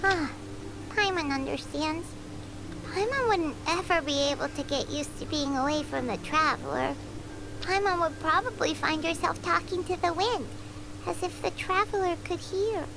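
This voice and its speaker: A woman voicing a tiny, cheerful, childlike fairy companion in a video game, speaking squeakily. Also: moderate pace, creaky. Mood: sympathetic. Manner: speaks of herself in third person, squeaky. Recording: noisy game audio with ambience behind.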